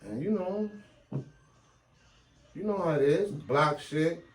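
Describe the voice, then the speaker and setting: A man's voice: on the high side, slow. A man, chatting as an interview guest in street slang and switching into Spanish now and then. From New York. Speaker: guest rapper. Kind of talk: casual interview chat.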